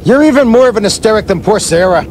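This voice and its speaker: An actor playing a blunt, sardonic middle-aged screenwriter who likes a drink, in a slangy radio play style. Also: male voice, high-pitched, expressive, fast talker, a little mellow, slight background noise. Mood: irritated.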